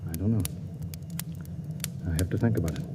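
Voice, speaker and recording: An actor playing a suspicious, commanding starship captain in clipped 1950s radio drama style. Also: male voice, deep voice, a little fast, very noisy, natural voice. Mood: grim, brooding. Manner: barks clipped orders. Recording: 1950s radio recording with music behind.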